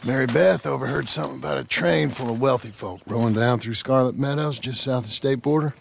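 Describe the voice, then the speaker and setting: An actor playing a rough, eager American outlaw in a video game, gravelly, plain-spoken and folksy. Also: male voice, mid-range voice, brisk, slight background noise. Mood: eager, persuading. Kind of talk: video game character acting.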